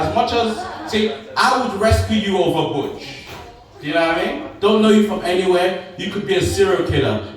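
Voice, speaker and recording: A man performing a stand-up comedy set at a live venue, bantering playfully with the crowd. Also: high voice. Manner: playful crowd work, animated delivery. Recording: roomy live venue, distant mic, crowd.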